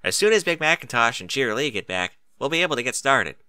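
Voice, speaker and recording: A man's voice: medium pitch, very animated, quick pace, pushed and bright, clean tone, dry recording. A man voicing a plain-spoken, hospitable female farm pony with a folksy, teasing manner in a story reading. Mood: easygoing.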